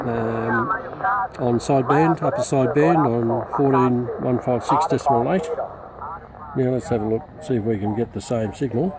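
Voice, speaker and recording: A man's voice: mid-range voice, moderate pace. A middle-aged man giving an informal, unscripted hands-on radio demonstration, hesitant and muttering as he works. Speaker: radio hobbyist reviewer. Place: outdoors in a backyard. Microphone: camera mic, behind the camera. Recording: loud radio static and background hiss. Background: shortwave radio noise.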